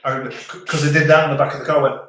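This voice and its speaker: A man with a softened Scouse accent hosting a casual live stream, chatting and reading out viewer comments with frequent restarts. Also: a little high, level tone, rapid-fire. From Liverpool, England. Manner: chatty, rambling, stops and restarts. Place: home in the French countryside. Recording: roomy echo.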